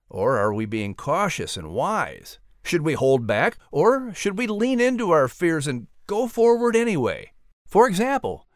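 A man narrating and announcing in a lively scripted storytelling style for children. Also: on the high side, dry recording, clean studio sound.

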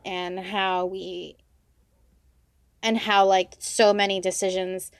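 Casting: woman as podcast host, medium pitch, casual and a bit tentative, dry studio-close sound. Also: dry recording. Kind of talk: casual interview chat.

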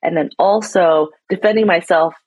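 A woman's voice: lowish voice, normal speed, darkish tone, slightly rough, dry recording. A woman from the United States, a nonprofit founder telling her story earnestly in an interview. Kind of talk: interview conversation. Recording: dull lo-fi audio.

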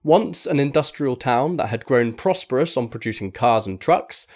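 A man with a medium-pitched voice reads a magazine feature aloud. The delivery is scripted narration, as for an audio article. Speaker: article reader.

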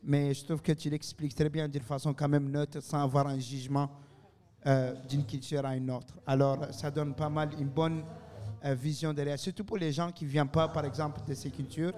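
A man's voice: on the high side, level tone, normal speed, mellow. A man hosting a casual live panel interview, with a jovial, teasing manner. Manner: teasing, jovial, laughs often. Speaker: host moderating a panel discussion. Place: live recording in a university bar. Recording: reverberant room, live event recording.

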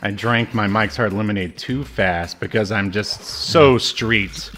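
A man's voice: on the low side, measured pace, fairly bright tone. A man co-hosting a podcast, chatting casually and jokingly about a game in loose, slangy phrases that often trail off. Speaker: podcast co-host.

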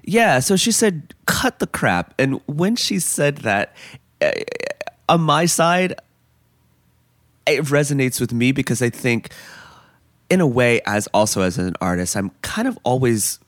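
A man's voice: mid-range voice, sing-song, unhurried, a bit bright, no room sound. Earnest man, dry close sound. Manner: earnest, searching questions with frequent "right?". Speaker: podcast host. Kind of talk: interview and episode introduction.

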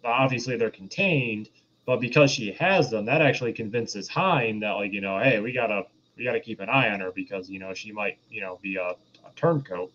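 A male podcast co-host explaining things in casual film discussion, rephrasing haltingly as he goes. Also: fairly low pitch, a bit bright. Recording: dull lo-fi mic, faint noise.

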